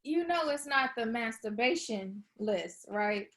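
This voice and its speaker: High-voiced, playful young woman an actress reads. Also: measured pace. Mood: teasing. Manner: slangy, animated reactions. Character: Issa, playful broke young woman, teasing and casual. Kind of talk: table read of a TV comedy script.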